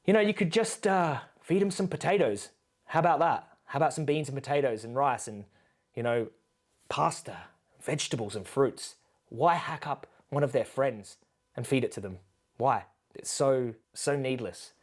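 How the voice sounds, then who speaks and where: Male commentator, mid-pitched, earnest and admonishing, off-the-cuff reaction delivery with pointed rhetorical questions. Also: normal speed. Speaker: animal rights commentator.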